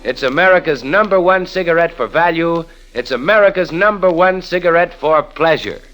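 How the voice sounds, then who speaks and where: A man announcing in a 1930s radio style, reading scripted copy with deliberate, emphatic salesmanship. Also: fairly high pitch, music in the background, rough recording.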